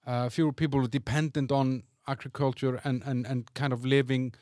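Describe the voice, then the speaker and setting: A man's voice: mid-range voice, flat delivery, a little fast, a little mellow, no room sound, studio quality. A man from Iceland, hosting a podcast, who argues in a hesitant, reasoned way and often repeats words. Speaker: podcast host. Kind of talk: casual debate chat.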